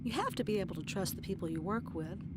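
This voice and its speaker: A woman playing a kind, trusting nurse in an audio drama, gentle and reassuring. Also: mid-range voice, expressive, fast talker, background noise, close to an everyday voice. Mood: questioning, uneasy. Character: kind, trusting female nurse, new on ward.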